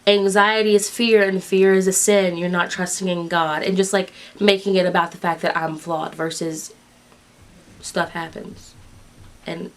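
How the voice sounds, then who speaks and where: A twenty-three-year-old woman speaking candidly in an interview, growing animated when she recounts what others have said. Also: fairly low pitch, a little slow.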